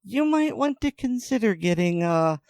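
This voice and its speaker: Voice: medium pitch, big pitch swings, moderate pace, darkish tone, no echo, studio quality. A podcast co-host chatting casually, rambling and restarting sentences, laughing often. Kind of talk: casual chat.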